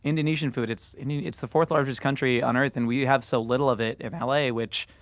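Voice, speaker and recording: A man in his thirties hosting a podcast, telling stories in a lively way with frequent laughs. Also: medium pitch, no echo. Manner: animated storyteller, laughs often, drawn-out words. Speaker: podcast host. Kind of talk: casual chat.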